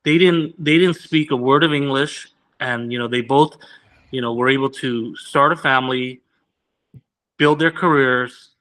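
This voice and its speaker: A man's voice: mid-range voice, sharp tone, rough recording. A man whose first language is Vietnamese, a candidate earnestly answering interview questions with many restarts. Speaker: political candidate.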